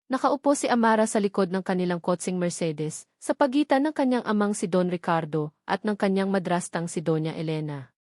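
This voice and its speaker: A woman's voice: medium pitch, a little mellow, no room sound, studio quality. A Filipino woman reading a scripted romance story as a dramatic narrator.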